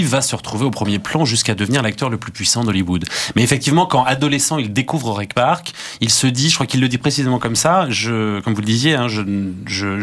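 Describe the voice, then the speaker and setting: A man's voice: medium pitch, fairly bright tone. Man, radio guest, analytical, hesitant, thoughtful. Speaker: guest critic. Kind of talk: radio interview, analytical commentary.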